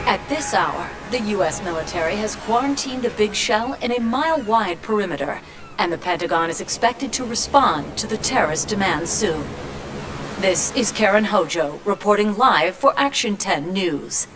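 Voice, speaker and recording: An actress playing a television news field reporter in a video game, with a formal, emphatic delivery. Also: female voice, mid-range voice, animated, moderate pace, over music, natural voice. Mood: grave, urgent. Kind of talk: live news report acting.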